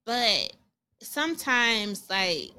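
A woman in her thirties co-hosting a podcast, talking candidly and casually, slowing down when she reflects. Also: on the high side, very animated, sharp tone, smooth. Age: 30s. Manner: candid, chatty, slows down to reflect. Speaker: podcast co-host. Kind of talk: casual chat.

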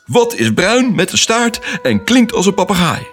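A man doing a high, goofy joker bird. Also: very animated, unhurried, faint music behind, exaggerated voice. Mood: silly, gleeful. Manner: loud, goofy. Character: corny joker bird who laughs at his own jokes. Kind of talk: children's audio drama.

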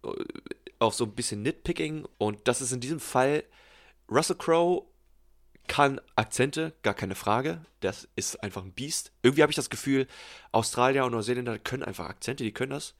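A man with a mid-range voice talks through a movie in relaxed podcast conversation, musing and giving opinions as he goes. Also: mid-range voice, moderate pace. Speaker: podcast co-host. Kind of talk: casual film review chat.

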